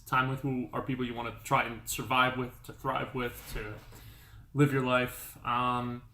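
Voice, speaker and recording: Man in his 20s, mid-pitched, rambly and jokey, echoey room with faint hiss. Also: completely flat delivery, moderate pace, pushed and bright. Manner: joking, rambling with fillers. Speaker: podcast guest. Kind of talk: casual chat.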